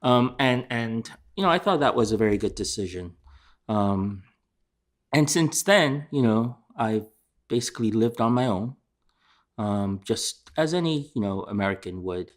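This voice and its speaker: Low-ish voice, middle-aged guy, lots of ums, chatting about his life. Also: lively intonation, a little slow. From Korea. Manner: hesitant, many fillers and restarts. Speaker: chef and restaurant owner. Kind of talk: relaxed interview answers.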